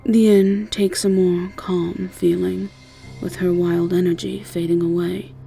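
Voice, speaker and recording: A woman's voice: fairly low pitch, flat delivery, unhurried, vocal fry, warm tone, soft background music. A woman with an English accent narrating a scripted second-person story. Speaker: narrator and voice actor.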